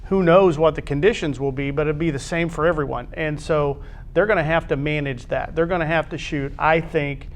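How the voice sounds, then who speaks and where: A man's voice: on the high side, fairly even intonation, fairly quick. An American man giving conversational sports commentary on a school athletics show, in short, emphatic phrases.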